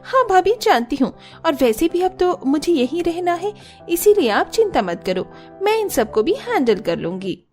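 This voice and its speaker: A woman's voice: extremely high pitch, big pitch swings, normal speed, a little mellow, soft background music. A woman voicing a spirited young woman in a cartoon story. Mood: breezy, confident. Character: spirited young woman back from abroad, admired by neighbourhood boys. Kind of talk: Hindi cartoon voice acting.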